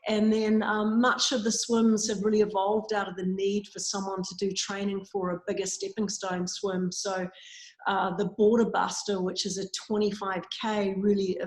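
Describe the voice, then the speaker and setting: A woman's voice: mid-range voice, little pitch movement, normal speed, darkish tone. New woman on an echoey video call. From New Zealand. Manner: chatty, frequent ums and uhs. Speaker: interviewee, swim coach. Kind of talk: casual interview answers. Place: at a swimming facility. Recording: echoey room sound.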